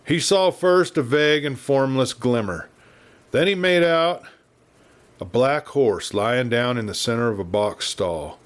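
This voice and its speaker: A man's voice: medium pitch, expressive, measured pace. American man narrating a Western tale. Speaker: audiobook narrator.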